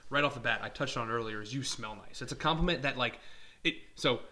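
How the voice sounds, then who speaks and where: A man's voice: medium pitch, fast, sharp tone. A young man co-hosting a podcast, chatting casually and joking about himself with a rambling, self-mocking manner. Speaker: podcast co-host. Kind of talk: casual chat.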